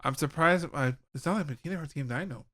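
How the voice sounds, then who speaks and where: A man co-hosting a podcast with a Southern Californian accent, chiming in haltingly in casual chat. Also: on the high side, pushed and bright. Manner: halting, chimes in with short reactions. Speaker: podcast co-host.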